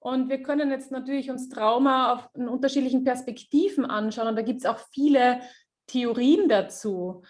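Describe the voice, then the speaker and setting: A woman hosting a webinar on a video call and interpreting a speaker consecutively, talking quickly with many fillers and self-corrections. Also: sits high.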